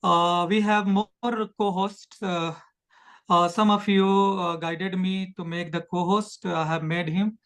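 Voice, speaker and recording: A man's voice: high-pitched, steady tone, slow, a bit bright. A man with an Indian accent casually hosting an online community meeting. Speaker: meeting host.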